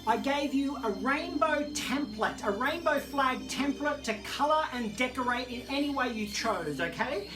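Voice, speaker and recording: A woman's voice: high voice, animated, moderate pace, few pauses. A woman hosting an online story time, chatting to viewers in a theatrical, gushing way. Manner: theatrical, gushing, addresses audience as darlings. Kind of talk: chatty show and tell to viewers. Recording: music playing in the background. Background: background disco music.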